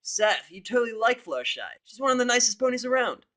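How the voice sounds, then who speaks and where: A man voicing a brash, boastful young female pegasus pony in a cartoon fan story, cocky and energetic. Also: extremely high pitch, fast, voice pushed up, full character voice. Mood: cocky, teasing.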